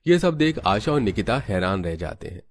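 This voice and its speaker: A man's voice: lowish voice, big pitch swings, normal speed. A man narrating a scripted family cartoon story.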